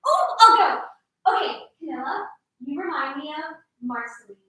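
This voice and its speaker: Wry, high-voiced young woman, very echoey. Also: sing-song, normal speed, pushed and bright, full character voice. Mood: teasing. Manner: chatty, teasing banter. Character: wry, easygoing young female theatre performer. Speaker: drama student actor. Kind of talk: live staged play reading. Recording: very echoey room, distant microphone.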